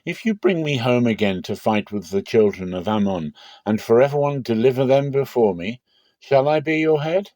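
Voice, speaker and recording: A proud warrior chieftain of ancient times, played by a male Bible reader, declaims in challenge. The voice is dark and mellow, recorded dry. The delivery is forceful oratory for a dramatic scripture reading. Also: mid-range voice, animated, moderate pace, no room sound, natural voice. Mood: challenging.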